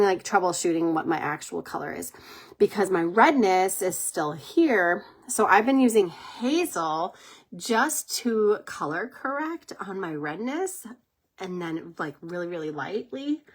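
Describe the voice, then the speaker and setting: A woman with a cold, congested and husky, chatting casually while doing her makeup on a livestream, rambling and laughing often. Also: mid-range voice. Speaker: makeup live-stream host.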